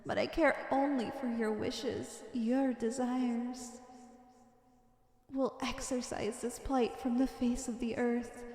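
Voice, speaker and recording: Villainess, played by a woman, fairly high voice, wicked and obsessively devoted, ornate theatrical monologue for ASMR roleplay, reverberant hall sound. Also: darkish tone. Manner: grandiose, ornate, fervent delivery. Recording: echoey, long reverberant hall effect.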